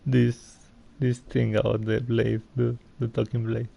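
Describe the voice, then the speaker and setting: A man's voice: medium pitch, completely flat delivery, a little slow, gravelly, warm tone. A man casually reacting while he plays a video game, as a streamer would. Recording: dull mic with faint noise.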